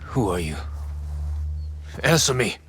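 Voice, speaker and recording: An actor playing a stern, determined samurai in a video game, speaking tersely and resolutely over background ambience. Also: male voice, medium pitch, sing-song, slow pace, a bit bright, faint music behind. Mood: demanding. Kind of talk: dramatic video game cutscene acting.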